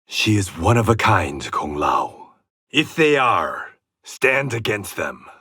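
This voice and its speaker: A man's voice: fairly low pitch, sing-song, slow, sharp tone, breathy edge, no echo, clean studio sound, heavily acted. An actor playing a proud warrior and former gangster in a video game, confident and terse, with a sardonic edge to his short fight taunts. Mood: cool, confident.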